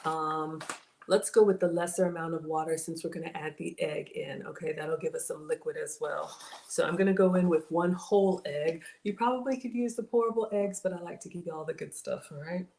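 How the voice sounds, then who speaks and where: A middle-aged woman with a slightly raspy voice, chatting casually to viewers while she cooks in her home kitchen. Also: low-pitched, fairly quick, darkish tone. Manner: chatty, talks to viewers as y'all. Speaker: cooking livestream host. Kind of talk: casual live cooking chat with viewers. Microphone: fixed camera at counter. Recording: echoey kitchen with clear background noise.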